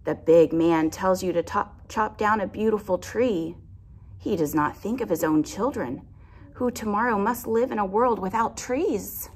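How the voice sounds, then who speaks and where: A woman's voice: mid-range voice, measured pace, darkish tone. Woman as a grave, admonishing anteater. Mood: earnest scolding. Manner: earnest, admonishing. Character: grave, scolding anteater pleading for the forest. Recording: clear background noise.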